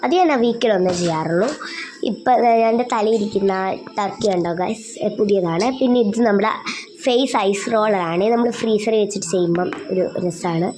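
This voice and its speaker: A woman's voice: mid-range voice, fairly quick, mellow. A woman with a Malayali accent and a mid-range voice narrates a skincare vlog. She speaks casually and informally, straight to her viewers. Her delivery is unpolished, with hesitations as she explains each step. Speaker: skincare vlogger.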